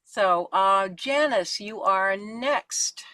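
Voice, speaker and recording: A woman's voice: medium pitch, very slow, bright, forceful tone, no echo. A woman hosting an online discussion, casually moderating comments from the audience on a video call.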